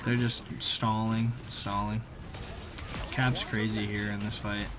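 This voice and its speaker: A man giving casual, slangy voice-over commentary on gameplay, conversational with animated reactions. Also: fairly low pitch, takes it slowly, noisy background. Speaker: gaming video creator.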